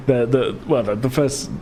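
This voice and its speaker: A man's voice: medium pitch, a little mellow, a little hiss or hum. A man running a tabletop game as game master, narrating casually in a rambling, self-correcting way.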